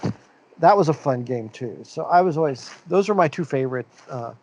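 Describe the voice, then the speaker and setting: A man's voice: a little high, lively intonation, fairly quick, darkish tone, lo-fi sound. A middle-aged man from the American Midwest, co-hosting a casual podcast chat. He rambles, restarts his sentences and leans on fillers as he reminisces. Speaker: podcast co-host.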